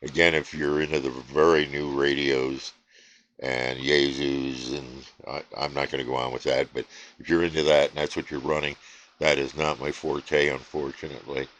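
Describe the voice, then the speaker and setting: A man casually chatting about his radio hobby at his home station, relaxed and rambling with many fillers. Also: extremely low pitch, normal speed, lo-fi sound, slight background noise. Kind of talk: casual chat to camera.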